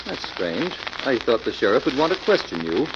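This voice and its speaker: A man's voice: mid-range voice, sing-song, normal speed. An actor playing a concerned, questioning man in a 1940s-style radio mystery. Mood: concerned, irritated. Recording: muffled, very noisy crackling old radio recording.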